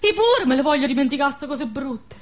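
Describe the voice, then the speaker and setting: A woman's voice: sits high, lively intonation, fairly quick. An actress playing a defiant, pregnant young village woman in a radio drama, anguished and impassioned, with a southern Lazio dialect. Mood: pleading, defiant. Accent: southern Lazio Italian dialect.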